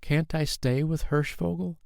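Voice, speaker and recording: A man voicing an earnest small boy who pleads in a high, trembling voice. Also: steady tone, unhurried, vocal fry, a little mellow, dry recording, clean studio sound. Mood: pleading.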